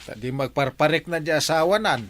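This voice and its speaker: A man's voice: on the high side, expressive, a little fast, fairly bright tone. A man playing an easygoing older father, hesitant and conversational, in an Ilocano radio drama.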